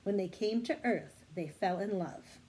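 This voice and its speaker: A woman voicing a kindly, wise female mentor in a storybook, speaking in a measured, reassuring, explanatory way. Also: mid-range voice, mellow, slight background noise, lightly acted, rough recording. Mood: explaining.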